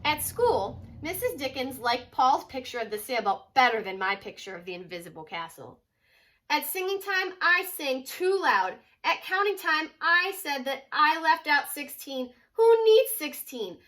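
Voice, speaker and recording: Animated, high-pitched woman reading to children. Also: normal speed. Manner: animated, dramatic emphasis on key words. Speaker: teacher reading aloud to children. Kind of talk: expressive children's picture-book read-aloud.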